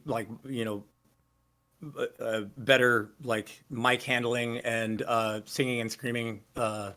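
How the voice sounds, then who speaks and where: A man with a low voice casually telling stories in an interview, rambling with lots of fillers and restarts. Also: bright, forceful tone. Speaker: singer interviewee. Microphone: video call.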